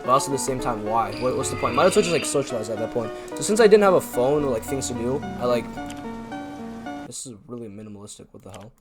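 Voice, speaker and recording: A young man from Canada talks casually as a YouTuber over a game, his voice sitting at an ordinary middle pitch for a man. He rambles and loads his speech with fillers, and he keeps making self-deprecating asides. Music plays clearly underneath him. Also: lively intonation, fast. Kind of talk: casual chat over gameplay. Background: background music.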